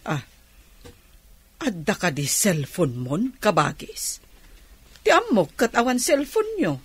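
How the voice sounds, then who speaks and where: A woman's voice: mid-range voice, wildly swooping pitch, takes it slowly, breathy edge, no room sound, heavily acted. A woman playing a nosy, teasing older neighbour in a radio drama, inquisitive, with an Ilocano accent. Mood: nosy, surprised.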